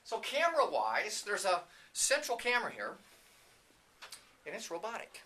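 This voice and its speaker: Bright, forceful, chatty man giving a tour. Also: high voice, huge pitch swings, moderate pace. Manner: informal, chatty, off-the-cuff. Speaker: CEO and presenter of a training company, giving a tour. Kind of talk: casual walking tour narration. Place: indoors, walking through a video production facility. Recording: light background noise, room ambience.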